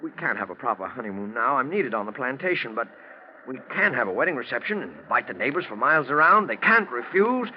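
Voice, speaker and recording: An actor playing a fearful, lovestruck plantation owner in a 1940s radio drama, speaking in a halting, agitated, melodramatic way. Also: male voice, medium pitch, big pitch swings, lightly acted. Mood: pleading. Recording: muffled, noisy 1940s radio recording.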